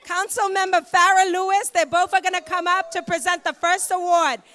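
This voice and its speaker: Very high-voiced woman hosting a gala. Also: level tone, normal speed, fairly bright tone. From Boston. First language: Haitian Creole. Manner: upbeat, rallies the room with "give it up". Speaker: master of ceremonies. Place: hotel banquet hall.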